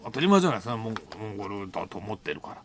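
A man casually telling a personal travel story, colloquial and animated, acting out bits of dialogue. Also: on the low side, racing, slight background noise. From Japan. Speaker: solo audio storyteller, host.

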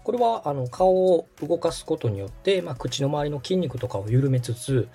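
A man's voice: fairly high pitch, very animated, moderate pace, dark tone, slightly rough, faint music behind. A man in his thirties from Osaka, a podcast host giving polite, encouraging step-by-step instruction in a conversational way. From Osaka, Japan. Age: 30s. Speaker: podcast host and radio director coaching vocal warm-ups.

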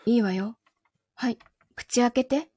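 A woman playing a possessive, coolly menacing wronged girlfriend in an audio drama, questioning calmly with soft taunts. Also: fairly high pitch, unhurried, a little hiss or hum, natural voice. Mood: sweetly menacing. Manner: calm interrogating, softly taunting, sometimes whispering. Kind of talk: Japanese audio drama acting.

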